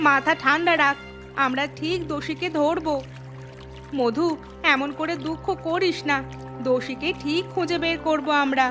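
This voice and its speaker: High-voiced caring village mother. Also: measured pace, long pauses, over music. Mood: consoling concern. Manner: reassuring, informal. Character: caring, encouraging village mother, grateful and steady. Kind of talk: cartoon voice acting.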